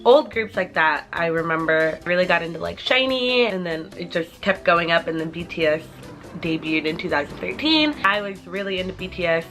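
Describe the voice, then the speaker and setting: A woman's voice: lowish voice, animated, moderate pace, a bit bright, soft background music. A woman in her twenties answering viewer questions to her camera in a casual, rambling way, full of "like" fillers. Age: 20s. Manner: chatty, rambling with many "like" fillers. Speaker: vlogger.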